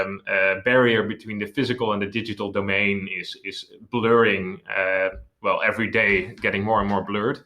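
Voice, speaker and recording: Low, bright-toned male interviewer, non-native English, halting. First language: not English. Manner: hesitant, searching for words. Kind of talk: interview questions.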